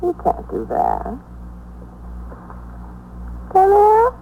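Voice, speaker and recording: A woman's voice: very high-pitched, wildly swooping pitch, very slow, vocal fry, phone-quality sound, exaggerated voice. An actress playing a sassy, streetwise, defiant woman in a 1950s radio crime drama. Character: brassy, defiant young dame giving a false alibi. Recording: noisy old 1950s radio recording.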